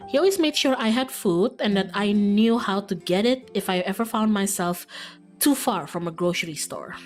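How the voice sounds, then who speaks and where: A woman's voice: medium pitch, normal speed, faint music behind, lightly acted. A woman voicing a friendly, earnest, folksy young small-town monster hunter in a visual novel. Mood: fond, reminiscing. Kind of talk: visual novel character read aloud.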